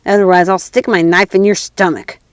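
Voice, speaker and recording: A woman's voice: medium pitch, steady tone, fairly quick, clear, resonant tone, dry recording, clean studio sound, natural voice. A woman playing a fierce, bossy little robber girl in a storybook, speaking bluntly and emphatically. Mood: commanding. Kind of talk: storybook character voice.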